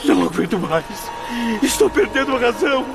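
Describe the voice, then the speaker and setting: A tormented blind man in a radio drama, his voice pitched high and breaking into a gravelly creak. He is theatrical and desperate, speaking haltingly like someone trapped in agony, with prominent music underneath. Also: sing-song, heavily acted. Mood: despairing. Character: anguished, blinded man trapped in afterlife torment after suicide.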